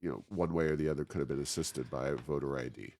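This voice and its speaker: A man hosting a conversational interview, phrasing his questions in a halting, searching way. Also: rumbling low, darkish tone. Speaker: interview host.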